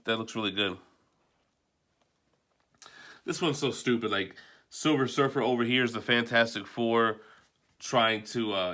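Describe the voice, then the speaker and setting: A man with a fairly low, very bright and forceful voice talks casually through a review. He rambles in an offhand, unscripted way, with the loose phrasing of a hobby collector chatting to viewers. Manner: offhand, rambling, mildly profane. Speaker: comic book collector reviewing a book.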